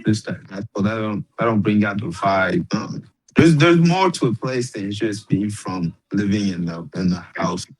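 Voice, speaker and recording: Slangy, halting man hosting casually. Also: on the low side, animated, rough recording. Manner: slangy, halting, repeats words. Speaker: podcast host. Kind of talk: casual chat.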